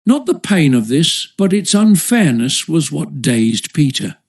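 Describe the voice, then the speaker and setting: A man's voice: fairly high pitch, very animated, measured pace, creaky, a little mellow, slightly rough. Man narrating a classic children's adventure. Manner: storytelling, literary read-aloud delivery. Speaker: audiobook narrator.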